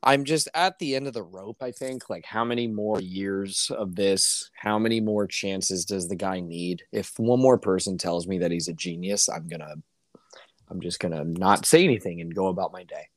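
Hoarse man ranting about football. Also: moderate pace, no room sound. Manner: ranting, exasperated, voice climbing high when worked up. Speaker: podcast co-host. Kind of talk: casual sports chat.

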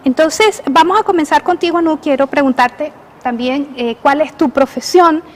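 A woman's voice: sits high, moderate pace. A woman hosting a radio interview, hesitant, with frequent filler sounds. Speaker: radio show host and astronomer.

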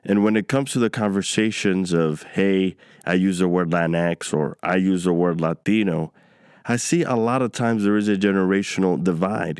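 Man, interviewer from New York, low smooth voice, dry close sound, affirming, deliberate. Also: darkish tone, dry recording. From New York, United States. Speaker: interviewer, host. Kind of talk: conversational interview.

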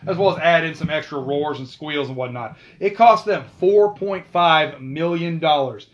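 Male host, chatty trivia commentary, a bit high-pitched, dull lo-fi recording. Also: moderate pace. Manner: chatty, with asides and self-corrections. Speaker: video host. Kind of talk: casual film trivia commentary.

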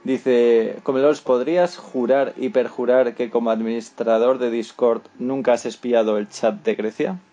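A man's voice: mid-range voice, steady tone, measured pace, hardly any pauses, warm tone, muffled recording, slight background noise. A man with a Spanish accent hosting an unscripted panel interview on a podcast. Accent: Spanish (Spain). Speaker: podcast host.

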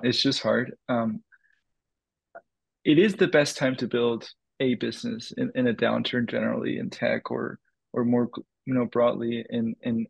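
A man's voice: mid-range voice, vocal fry, husky, no room sound. A man from the Dominican Republic, a crypto startup founder, answering interview questions in a thoughtful way with many fillers and restarts.

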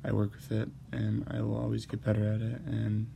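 Man, stutters, hesitant, flat delivery, dark mellow tone. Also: on the low side, vocal fry, slight background noise. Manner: stutters, hesitant with many pauses and fillers. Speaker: host. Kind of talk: personal monologue.

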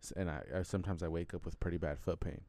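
A man's voice: low-pitched, fairly even intonation, very fast, warm tone, dry recording. A man co-hosting a podcast, casually explaining things in an easygoing conversational way. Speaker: podcast co-host.

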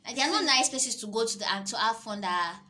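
A woman's voice: fairly high pitch, animated, fast talker, pushed and bright. A young woman with a Nigerian accent, chatting on a vlog in a blunt, complaining, emphatic way. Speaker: vlogger. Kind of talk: casual group chat. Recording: noisy background.

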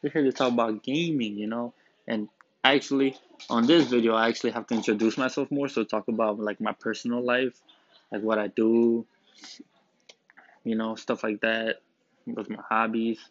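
A man's voice: mid-range voice, steady tone, moderate pace. A young man in his late teens from Romania, hosting a student podcast from the back room of a school in a rambling, joking, laid-back way. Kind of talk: casual rambling podcast monologue. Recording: dull, dry lo-fi recording.

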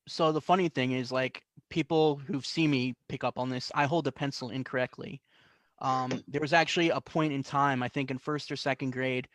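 Man, monotone, dry close sound, rambling casual chat, lots of ums. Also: medium pitch, no echo. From New York and New Jersey, US. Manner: rambling, with many fillers and restarts. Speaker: guest artist. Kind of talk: casual interview talk.

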